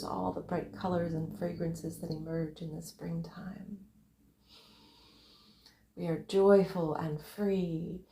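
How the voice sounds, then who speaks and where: A woman narrating a guided visualization in a meditative way, speaking in short, separated, breathy phrases. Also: on the low side, dark tone, roomy sound.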